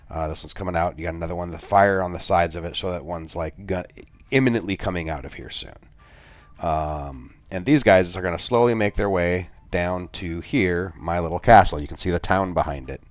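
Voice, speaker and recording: A middle-aged man with a soft, very low voice talks through a game walkthrough. His delivery rambles, dotted with filler sounds and self-deprecating asides. The sound is dry, with no room around it. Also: no room sound. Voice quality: soft-spoken. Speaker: gaming video creator. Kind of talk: gameplay walkthrough commentary.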